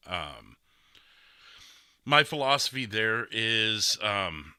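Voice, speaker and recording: Congested man with a very bright, forceful voice. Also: lowish voice, very animated, very slow, smooth, dry recording. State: congested, stuffy nose, breathing through mouth. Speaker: gaming streamer hosting a podcast. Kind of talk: casual chat.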